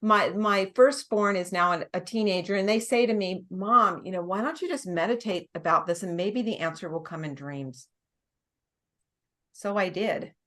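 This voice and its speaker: A woman's voice: medium pitch, normal speed, a bit bright. A middle-aged American woman, a nurse practitioner as a guest, explaining at length in casual conversation, with many restarts and small laughs. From United States.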